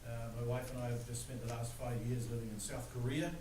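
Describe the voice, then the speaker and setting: An older man with a New Zealand accent giving a relaxed, joking lecture to students, with plenty of "uh" fillers. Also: mid-range voice, fast talker. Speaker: guest speaker. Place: large room. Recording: echoey room recorded from far off, noisy.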